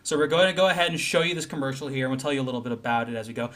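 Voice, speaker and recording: A man's voice: mid-range voice, racing, a bit bright. A man co-hosting casual improvised comedy, directing a mock audition.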